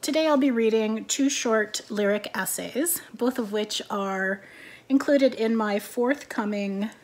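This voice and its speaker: A woman in her forties, whose first language is French, introduces and reads her own lyric essays in a calm, measured voice from her living room, her phrase ends trailing off quietly. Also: medium pitch. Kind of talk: reading lyric essays aloud. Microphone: laptop camera, webcam framing.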